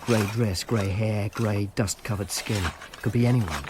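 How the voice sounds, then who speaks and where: A man's voice: lowish voice, steady tone, measured pace, noisy background, close to an everyday voice. An actor playing a sardonic, world-weary male informer from ancient Rome in a radio drama. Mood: shaken, subdued. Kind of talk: radio drama narration and dialogue.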